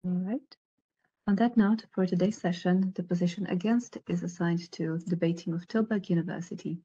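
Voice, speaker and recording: A woman speaking as the host of a debate tournament, making formal announcements over a video call with a husky voice. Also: on the low side, steady tone, brisk, dark tone, muffled recording.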